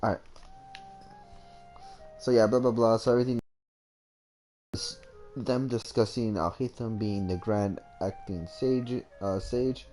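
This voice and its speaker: A young man commentates on a game in a fairly low voice. He rambles in a casual, slangy way. Soft music sits behind him. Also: fairly even intonation, a little slow, long pauses, low-quality recording. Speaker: gaming streamer.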